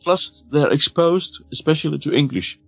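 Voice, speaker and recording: A man's voice: a little high, normal speed, vocal fry, dry recording, dull audio, soft background music. A man from Denmark with a Danish accent, chatting carefully on a podcast, often repeating words as he searches for them. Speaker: podcast guest. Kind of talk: casual chat.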